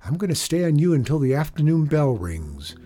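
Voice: mid-range voice, a little fast, faint music behind. A narrator voicing a plucky, determined young schoolboy in a children's story. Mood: steady resolve. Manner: excited exclamations and determined muttering. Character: plucky, determined third-grade boy riding a bucking chair.

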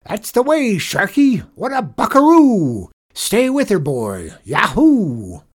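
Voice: medium pitch, huge pitch swings, a little slow, darkish tone, husky, pitched above the performer's own voice, exaggerated voice. A narrator voicing an exuberant male school janitor who hollers and coaches like a folksy rodeo cowboy in a children's story. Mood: whooping excitement.

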